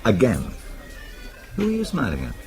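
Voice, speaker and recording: An actor playing a wry, evasive British husband in a radio drama, dry and sardonic with a British accent. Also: male voice, medium pitch, wildly swooping pitch, normal speed, a little mellow, soft background music. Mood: wry, exasperated.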